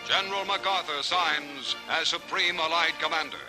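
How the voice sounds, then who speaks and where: 1940s American newsreel announcer over loud music. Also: male voice, a little high, normal speed, rough recording. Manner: dramatic, formal announcer delivery. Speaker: newsreel narrator. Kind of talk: 1940s newsreel scripted narration.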